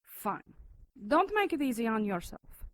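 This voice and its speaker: A woman's voice: on the high side, big pitch swings, a little slow. An actress playing a cruel, commanding female demon in an audio drama, menacing and savouring.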